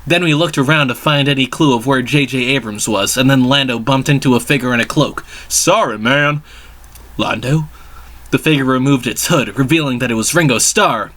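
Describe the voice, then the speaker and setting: A man's voice: medium pitch, a little fast, few pauses, fairly bright tone. A man giving a dramatic reading of a story as its narrator. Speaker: reader of a fan fiction story.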